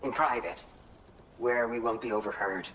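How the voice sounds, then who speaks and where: A discreet man played by an actor speaks at a middling pitch in a video game drama. He is polite and deliberate, as if keeping things private. Faint ambient noise runs behind him. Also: fairly bright tone, lightly acted, low-quality recording. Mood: guarded, polite. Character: discreet, courteous man asking a favor.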